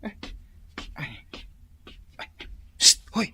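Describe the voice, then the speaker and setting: A man playing an excitable, nervous, bumbling house guard in a comic radio drama, with a stammering, exclaiming delivery. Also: drawn-out words, slight background noise, full character voice. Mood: urgent.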